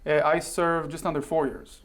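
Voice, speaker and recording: A man from Israel, a guest on a talk show, explaining his background in a measured way with frequent "right?" asides. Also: fairly high pitch, steady tone. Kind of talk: casual interview chat. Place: television studio.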